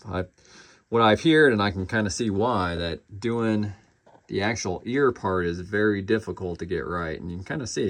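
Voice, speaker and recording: A man with a fairly low voice and a strong vocal fry talks through a collectible in a casual review style. His delivery is informal and a little hesitant, trailing off and returning to points as he goes. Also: fairly bright tone.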